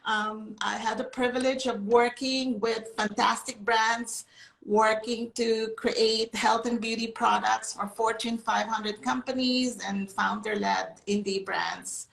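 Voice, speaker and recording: Casting: woman in her fifties, Filipino native speaker, slightly high gravelly voice, echoey room, storytelling. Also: fairly even intonation, slow pace, fairly bright tone. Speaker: beauty chemist, brand co-founder. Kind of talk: panel discussion.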